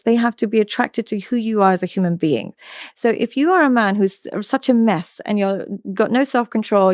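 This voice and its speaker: A woman's voice: medium pitch, darkish tone, no echo, clean studio sound. A woman answering interview questions as a psychology coach, speaking frankly and assertively, often restarting her sentences. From London, England. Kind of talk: interview answers, giving opinions.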